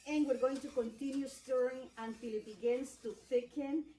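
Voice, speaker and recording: A middle-aged woman from El Salvador walks through a recipe in a cheerful, explanatory way. Her voice sits a little high. She is recorded in an echoey home kitchen with the mic set back from her, and faint room ambience behind. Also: fairly even intonation, normal speed, mellow, far from the mic, a little hiss or hum. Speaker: cookbook author teaching a cooking class. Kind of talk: cooking demonstration.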